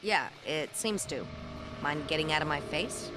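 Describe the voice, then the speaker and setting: A woman's voice: low-pitched, lively intonation, measured pace, music in the background, poor audio. An actress playing a wry, confident female rebel leader in a video game cutscene. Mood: wry, assured.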